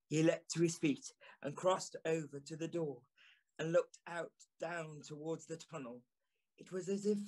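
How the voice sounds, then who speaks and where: Gentleman narrator, slightly high pitch, measured, suspenseful, dramatic Victorian ghost story narration. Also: poor audio.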